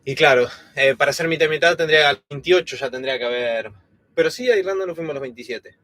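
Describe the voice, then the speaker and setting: A man in his thirties from Argentina, his Argentine Spanish accent softened by years in Spain, chatting casually as co-host of a live stream with many fillers and restarts. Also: a little high, fast talker, no room sound. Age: 30s.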